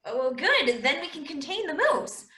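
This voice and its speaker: A woman's voice: sits high, big pitch swings, moderate pace, sharp tone, far from the mic, exaggerated voice. A woman playing an exasperated, sarcastic law officer who scolds, in a comedic audio drama, recorded roomy and off the microphone.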